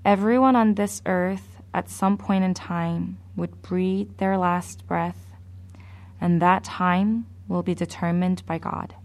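A woman preacher delivers a sermon at an unhurried pace, weighing each phrase. Her mid-range voice carries a gravelly, creaky edge. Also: lowish voice, fairly even intonation, a little mellow. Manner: slow, measured and deliberate.

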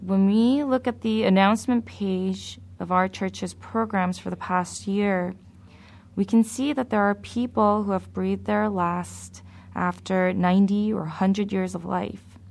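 A woman preaching a sermon in a slow, measured, deliberate way. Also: medium pitch, steady tone, gravelly, darkish tone.

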